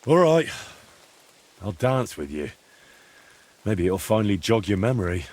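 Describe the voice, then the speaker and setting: Husky, kindly young medieval lad. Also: male voice, fairly low pitch, big pitch swings, measured pace. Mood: helpful, patient. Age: young adult. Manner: gentle, coaxing, slightly hesitant. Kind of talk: dramatic video game dialogue.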